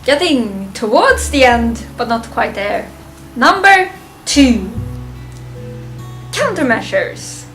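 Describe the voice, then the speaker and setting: A woman with a fairly high voice talks slowly through a casual fan review. She is unscripted and halting, working out her thoughts aloud and often chuckling, with some music behind her. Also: big pitch swings, fairly bright tone. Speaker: reviewer presenting a ranked list.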